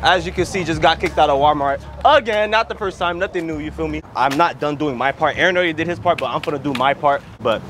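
A man's voice: a little high, fast, a bit bright, a little hiss or hum. A young man hosting a prank video in casual, slangy, joking chat. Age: young adult. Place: large store, car and parking lot.

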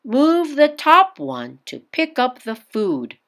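A courteous, helpful restaurant waiter read by a woman from a storybook. The words come very slowly and with measured emphasis, as if teaching. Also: high voice, huge pitch swings, a bit bright, voice pushed up, heavily acted. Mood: polite, instructing. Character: polite, helpful Japanese restaurant waiter, male.

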